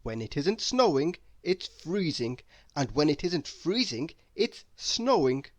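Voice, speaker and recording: A storyteller reading a children's book aloud in an expressive narrating style. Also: medium pitch, slow, no room sound.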